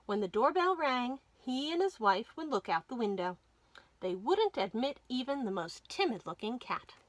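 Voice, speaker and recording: A woman hosting a children's storytime, reading a picture book aloud with clear phrasing and emphasis for young listeners. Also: fairly high pitch, very animated, normal speed, no room sound. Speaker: children's librarian, storytime host.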